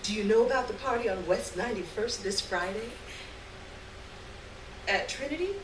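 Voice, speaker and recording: A woman's voice: medium pitch, animated, moderate pace, close to an everyday voice. A woman voicing a gossipy, haughty teenage private-school girl with drawling, girlish talk in a live story reading. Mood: probing. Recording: roomy, noisy live recording from a distance.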